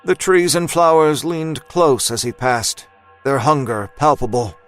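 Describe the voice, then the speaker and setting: A man's voice: mid-range voice, a little slow, soft background music. A man narrating a scripted audio drama in a dramatic storytelling style.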